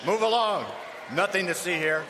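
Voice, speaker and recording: An actor playing a gruff Roman centurion guard in a live audio drama, giving curt orders. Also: male voice, high voice, moderate pace, background noise, lightly acted. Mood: dismissive.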